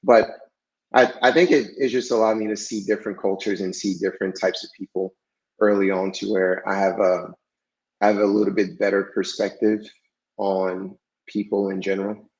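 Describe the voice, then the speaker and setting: A man guest in a casual podcast interview, talking in a relaxed, hesitant way with many fillers, French his first language. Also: lowish voice, moderate pace. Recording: dull lo-fi sound.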